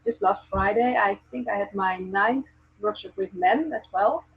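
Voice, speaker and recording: A Dutch-accented woman speaks earnestly as a guest, pausing on ums and restarting her sentences. Her voice is a little husky. The audio is thin and phone-like, as from a video call. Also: mid-range voice. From Netherlands. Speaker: guest, presence coach. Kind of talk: casual video call chat.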